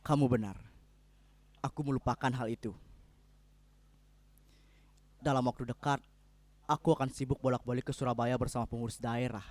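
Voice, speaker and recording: An actor playing an anxious, idealistic husband in a dramatic reading, speaking in an earnest, apologetic manner. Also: male voice, medium pitch, steady tone, moderate pace, pauses often, a little mellow, no room sound, close to an everyday voice. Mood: guilty, worried. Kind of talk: dramatic reading of a stage play.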